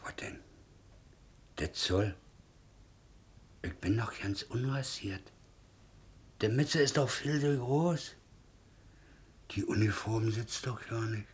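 An actor playing a shabby, ageing ex-convict posing as a captain in a 1950s radio play, plain-spoken and colloquial. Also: male voice, on the low side, slow pace, long pauses. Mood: bewildered.

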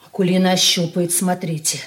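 A woman's voice: on the low side, little pitch movement, fairly quick, natural voice. An actress playing a grown married daughter, wary around her brother, in a 1980s Soviet radio play. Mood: anxious.

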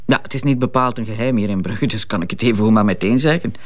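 Middle-aged Flemish wife: worn-out, sardonic, frank and unabashed, for radio-play dialogue. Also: female voice, extremely low pitch, fast. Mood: bitter, resigned. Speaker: actor. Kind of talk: audio drama dialogue.

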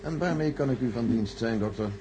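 A man playing a measured, secretive senior official in a science-fiction radio play, formal, deliberate and condescending. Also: medium pitch, mellow, lightly acted. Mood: formal, evasive. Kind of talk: radio drama acting. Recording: noisy old radio recording with hum.

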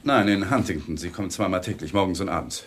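An actor playing a young, uneasy lawyer with an evasive, placating manner in a German radio crime drama. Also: male voice, deep voice, fast talker, slight background noise, close to an everyday voice. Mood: guarded.

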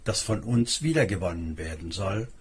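Husky low-voiced man narrating. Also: lively intonation, normal speed. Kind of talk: scripted documentary narration.

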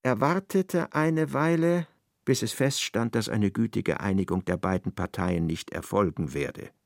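A male narrator for a literary radio drama. His voice is fairly low with a creaky grain, recorded dry and close, and he tells the story with measured precision. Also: no echo.

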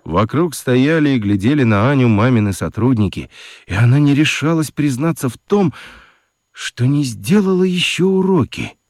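A man tells a children's story as narrator. His medium-pitched voice moves with lively, varied intonation, in a dry, close recording. The delivery is theatrical and expressive. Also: normal speed, no echo.

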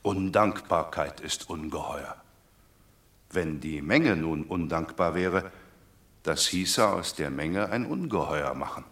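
A sententious Roman citizen in classic radio comedy-drama. His voice is very low. He reasons earnestly and pedantically, to droll effect. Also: expressive, takes it slowly, a bit bright, full character voice. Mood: pedantic. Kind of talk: classic German radio play.

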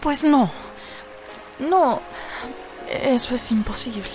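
An actress playing a romantic, flustered married gentlewoman in a melodramatic radio drama. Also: high voice, lively intonation, slow pace. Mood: flustered resistance. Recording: music behind.

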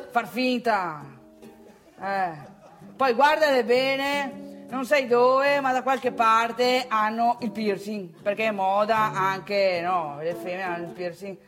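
A woman's voice: on the high side, lightly acted. A woman performing a comic stage monologue as a brash, grumbling provincial man with a northeastern Italian accent, colloquial, boastful and repetitive. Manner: colloquial, boastful, repeats phrases, addresses listeners directly. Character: brash, grumbling provincial young man chasing women in bars.

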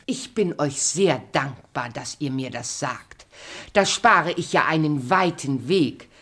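A woman's voice: low voice, expressive, takes it slowly, fairly bright tone, heavily acted. A woman playing a wicked queen posing as a sweet, wheedling peddler in a radio play. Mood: sly, wheedling.

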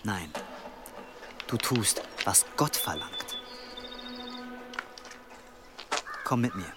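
A man's voice: lowish voice, animated, slow, heavy background noise. A man playing a stern, righteous medieval monk in a German radio play, admonishing and interrogating. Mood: cold, commanding.

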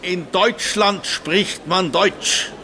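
An actor playing a stern, officious German man in a radio play, speaking formally and commandingly in a gravelly voice. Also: sits high, drawn-out words, bright, forceful tone, slight background noise, exaggerated voice. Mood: stern, accusing.

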